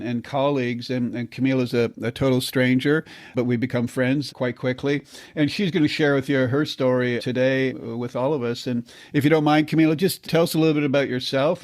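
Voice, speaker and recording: Older man, podcast host, mid-pitched, halting delivery, dry close mic. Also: a little mellow, no room sound. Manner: halting, repeats words when starting sentences. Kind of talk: podcast interview.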